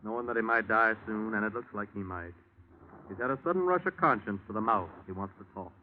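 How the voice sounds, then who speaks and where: An actor playing an earnest, persuasive chaplain with a measured manner, in 1940s radio style. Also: male voice, fairly low pitch, lightly acted. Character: earnest, persuasive male chaplain arranging a mission. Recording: tinny, hissy 1940s radio recording.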